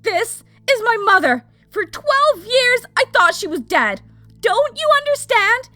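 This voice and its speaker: A woman's voice: very high-pitched, sing-song, measured pace, bright, forceful tone, dry recording, heavily acted. A woman playing a hot-tempered, restless young pyromancer in an audio drama, given to impatient, emphatic outbursts. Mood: furious, anguished. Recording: music under the voice. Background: music.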